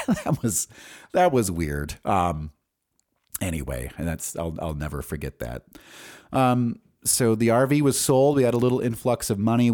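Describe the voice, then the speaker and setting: An American man with a low-pitched voice tells autobiographical stories as a podcast host. His delivery is casual and candid, wandering as he thinks aloud, with loose, profane phrasing. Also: a little mellow.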